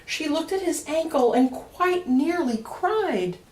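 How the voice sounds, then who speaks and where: A woman reading a rhyming picture book aloud for children, expressively, with dramatic emphasis and deliberate pauses. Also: sits high, expressive, darkish tone. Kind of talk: expressive storytime read-aloud of rhyming verse. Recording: roomy echo, distant mic.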